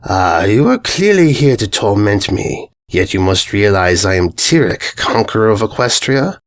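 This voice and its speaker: A raspy, low-voiced centaur demon from a storybook, acted out by a male reader. He is menacing and pompous, speaking in slow, grandiose phrases with an exasperated edge. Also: very animated. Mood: menacing, grand. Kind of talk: storybook narration character voice.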